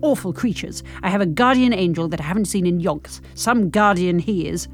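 A woman playing a grumpy, impatient, sardonic older woman, telling her story in the first person in a wry, expressive way. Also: fairly low pitch, a little fast, faint music behind, lightly acted.

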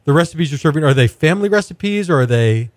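A man's voice: on the high side, animated, fast, no room sound. Male host, casual interviewer, rephrases his questions as he goes; dry, close recording. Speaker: podcast host, food interviewer.

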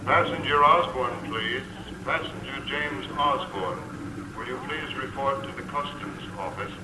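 An actor playing a formal airport announcer in a 1950s radio drama. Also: male voice, medium pitch, measured pace, natural voice. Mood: impersonal. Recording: echoing PA, loud background noise.

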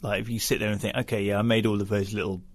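A man's voice: on the low side, level tone, racing, dry recording. A man hosting an interview, asking curious, conversational questions. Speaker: interviewer, host.

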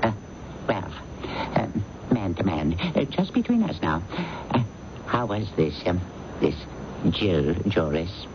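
An actor playing an older, stuffy and prurient investment-house boss in a radio drama, hemming and hawing pompously. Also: male voice, sits low, very animated, slow pace, long pauses, heavily acted. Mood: sly, chummy. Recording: muffled, noisy old radio broadcast.

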